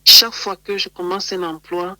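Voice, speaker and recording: A woman's voice: lowish voice, little pitch movement, moderate pace, sharp tone, dry recording, poor audio. A woman from Cameroon, giving an earnest testimony to her pastor. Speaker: church member giving a testimony.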